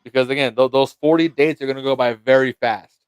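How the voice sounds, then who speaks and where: A man's voice: medium pitch, steady tone, fast talker, clear, resonant tone, dry recording. A man hosting a casual wrestling talk stream, often stammering and restarting as he thinks aloud, chuckling at his own ideas. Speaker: wrestling show host. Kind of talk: casual livestream chat.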